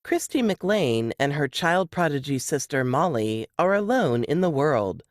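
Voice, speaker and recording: A woman reading a scripted book blurb in a measured, dramatic storytelling manner. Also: low voice, very animated, no echo, clean studio sound.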